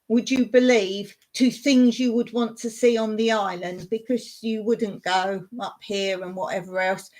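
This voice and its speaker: A woman hosting a casual live stream, talking to viewers in a rambling, chatty way and laughing often. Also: medium pitch.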